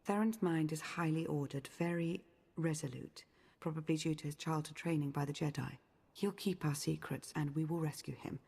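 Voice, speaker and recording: Calm, precise strategist. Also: female voice, deep voice, moderate pace, gravelly, slightly rough, full character voice. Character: precise, composed woman, analytical strategist. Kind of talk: video game voice acting.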